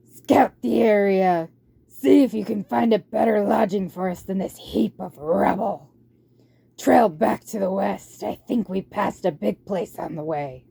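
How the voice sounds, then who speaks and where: A woman's voice: mid-range voice, unhurried, vocal fry, dark tone, husky, no echo, full character voice. A woman voicing a villainous rat warlord in a storybook, curt, commanding and menacing as he orders his horde about. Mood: harsh, commanding.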